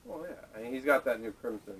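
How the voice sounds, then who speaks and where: A man with a mid-range voice co-hosts a loose, casual conversation about films. He speaks haltingly and restarts his questions. The sound comes from a camera mic some distance away, with faint noise behind him. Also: mid-range voice, animated, fairly bright tone. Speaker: podcast co-host. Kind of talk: casual chat about movies. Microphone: camera microphone at a distance. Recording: poor camera audio, distant and noisy.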